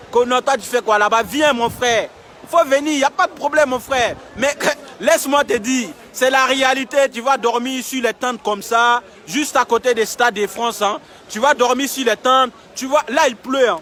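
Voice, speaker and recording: Young male streamer, very high-pitched and insistent, phone mic outdoors, faint crowd behind. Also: pushed and bright. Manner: emphatic, repetitive, urging viewers. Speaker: live-streaming vlogger. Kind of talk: impassioned outdoor livestream. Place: outdoors in a city street camp. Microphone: phone held while filming.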